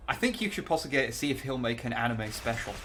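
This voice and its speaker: A man's voice: mid-range voice, expressive, fast, noisy background. A man co-hosting a livestream, giving casual commentary while he plays a game. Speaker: livestream co-host playing a game.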